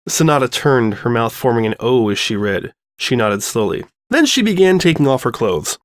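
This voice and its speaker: Male storyteller, medium pitch, expressive scripted narration, very dry recording. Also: normal speed, dry recording.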